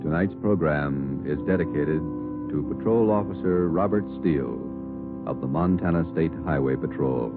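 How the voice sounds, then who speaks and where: An American man announcing in a grave, measured 1940s radio style. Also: extremely low pitch. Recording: narrow old radio recording, loud music.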